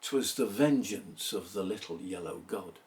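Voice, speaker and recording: A man giving a theatrical, measured recitation of a narrative poem, pausing between phrases. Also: low voice, lively intonation, far from the mic. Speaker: poetry reader.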